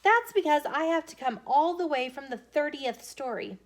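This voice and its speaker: A woman's voice: high voice, animated, normal speed, pitched above the performer's own voice. A woman reading the part of an eager, complaining schoolgirl in a children's storybook, pleading and pointed. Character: eager, complaining schoolgirl who loves recess.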